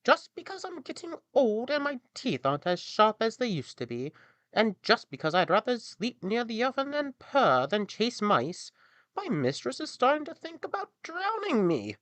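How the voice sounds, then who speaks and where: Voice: mid-range voice, huge pitch swings, moderate pace, a bit bright, no echo, voice pushed up. A man voicing a gloomy, indignant aging cat in a storybook tale, complaining as it talks. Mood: gloomy, aggrieved. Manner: complaining, aggrieved.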